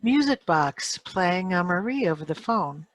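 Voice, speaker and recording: A woman's voice: fairly low pitch, lively intonation, unhurried, no room sound. A woman announcing sound-effect cues for a radio script in clipped phrases, recorded on a noisy video call.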